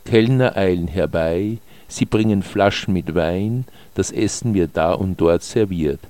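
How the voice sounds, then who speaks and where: Male narrator for scripted short prose; low register, unhurried and measured delivery, dry close sound. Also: dark tone, no room sound. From Austria. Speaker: author reading own short texts.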